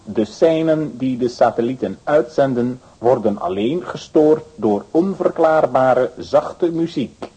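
A man's voice: mid-range voice, expressive, warm tone, slightly rough, full character voice, rough recording. A man playing a formal, matter-of-fact news reader in a children's audio drama. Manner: measured, formal.

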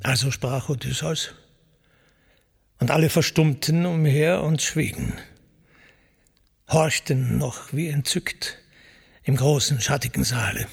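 A man's voice: mid-range voice, slow pace, creaky, long pauses, slightly rough. A man reciting a classical epic in verse for a radio play, solemn and declamatory, as its narrator. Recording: radio production with loud music underneath. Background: loud music.

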